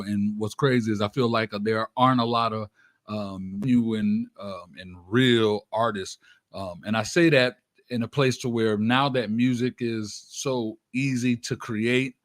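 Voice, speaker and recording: A man's voice: mid-range voice, dry recording. Slangy male radio host, dry close sound. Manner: slangy, uses frequent check-in phrases. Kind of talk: casual interview chat.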